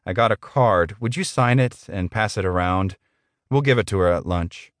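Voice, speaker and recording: A man's voice: lowish voice, normal speed, no echo, clean studio sound, close to an everyday voice. A man voicing a meek male office employee in an audiobook, deferential, polite and a little hesitant. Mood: concerned. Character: meek, earnest, bewildered male office worker.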